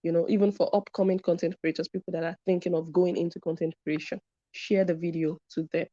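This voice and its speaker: A woman's voice: on the low side, little pitch movement, quick pace, warm tone, dry recording. A woman hosting a live commentary stream, reading viewer comments and stressing her points by repeating them. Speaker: commentary channel host. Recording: dull lo-fi sound.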